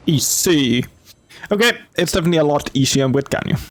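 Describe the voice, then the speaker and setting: A man's voice: fairly high pitch, lively intonation, a little fast. A man giving casual, enthusiastic commentary over gameplay, often repeating short phrases. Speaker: gaming video creator. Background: game music behind the voice.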